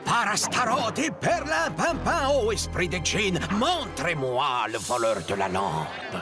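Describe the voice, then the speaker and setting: A man's voice: sits high, very animated, takes it slowly, full character voice. A man voicing a scheming, villainous sorcerer in a French cartoon, menacing and melodramatic as if casting spells. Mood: indignant, conjuring. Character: scheming villainous sorcerer hunting his stolen magic lamp. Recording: music behind the voice. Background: music.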